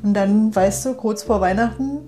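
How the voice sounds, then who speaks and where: A woman's voice: mid-range voice, normal speed. A woman talking casually as a guest in a conversation, with a mid-range voice. She often seeks agreement with small tag questions, and music runs behind her. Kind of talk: casual chat.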